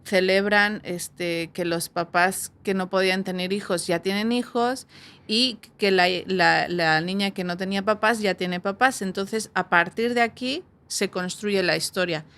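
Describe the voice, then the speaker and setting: Brief adult woman guest, mid-pitched, thoughtful storyteller. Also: measured pace, a bit bright. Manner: thoughtful, frequent tag questions. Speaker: guest, adoptee. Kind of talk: personal testimony in conversation.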